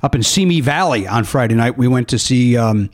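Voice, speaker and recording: A man's voice: medium pitch, expressive, a little fast. A man hosting a comedy podcast, chatting casually with a jokey, profane manner. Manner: jokey, profane, mock radio-DJ patter. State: says he is losing his voice. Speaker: podcast host.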